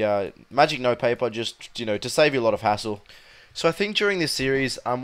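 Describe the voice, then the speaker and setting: A young man with a raspy voice from a cold, rambling casually with many ums and restarts as he comments over his gameplay. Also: mid-range voice. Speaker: gaming video host.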